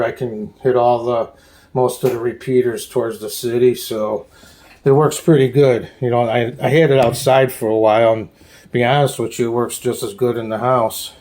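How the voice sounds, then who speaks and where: A man hosting a casual off-the-cuff hobby tour at home, talking in a halting, plain-spoken, rambling way. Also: medium pitch, a little mellow. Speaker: hobbyist host giving a radio gear tour. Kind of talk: casual off-the-cuff show-and-tell vlog. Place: small room at home.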